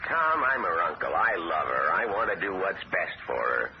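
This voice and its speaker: A middle-aged actor playing a sly, self-pitying uncle who talks smoothly and wheedles, his voice jumping up in pitch, in a 1970s radio drama. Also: sing-song, normal speed. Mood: scheming. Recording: muffled old radio broadcast with hiss.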